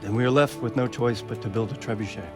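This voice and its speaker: An actor playing a weary, resigned castle lord in a medieval video game, grave and deliberate. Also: male voice, mid-range voice, loud music behind the voice, lightly acted. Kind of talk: dramatic video game cutscene acting.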